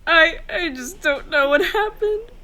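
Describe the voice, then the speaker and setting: Very high, wailing widow. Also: female voice, animated, a little slow, bright, forceful tone, heavily acted, low-quality recording. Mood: distraught, tearful. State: tearful, wailing. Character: distraught, shocked, melodramatic party hostess and widow. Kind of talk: radio drama acting.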